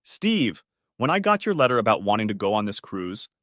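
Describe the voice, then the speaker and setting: A man acts out a sharp-tongued, flirty woman in an audio story. The delivery is cheeky and playful, with lively jumps in pitch. It is recorded dry, without room sound. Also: brisk, no room sound.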